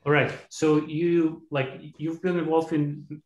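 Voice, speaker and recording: Host, male, casual questioner, somewhat high voice, echoey room audio. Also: level tone, takes it slowly. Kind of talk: interview questions on a video call. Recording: roomy video-call audio.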